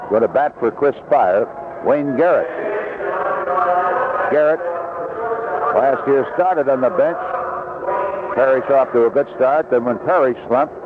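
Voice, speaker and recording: A man's voice: medium pitch, phone-quality sound, noisy background. A man calling baseball play-by-play live from a ballpark booth on a 1970s radio broadcast.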